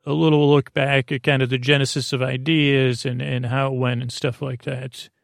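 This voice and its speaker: A man hosting a sleep podcast with an improvised bedtime monologue, meandering, halting and mildly self-deprecating, with a creaky voice. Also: medium pitch, flat delivery, no echo, studio quality. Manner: meandering, halting, often trailing off mid-sentence.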